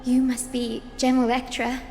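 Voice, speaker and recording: A woman voicing a gentle, polite young priestess healer in a video game, courteous and earnest. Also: high-pitched, little pitch movement, measured pace. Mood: reverent. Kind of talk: video game character acting. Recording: long reverb, music behind.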